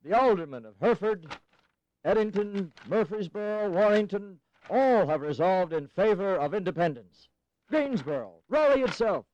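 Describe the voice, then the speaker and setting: Insistent colonial politician, high voice, formal and pressing, for historical audio drama. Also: male voice, animated, moderate pace, darkish tone, lo-fi sound. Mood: eager, insistent. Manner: emphatic, formal, persuasive. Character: eager, insistent colonial congressman pressing for independence.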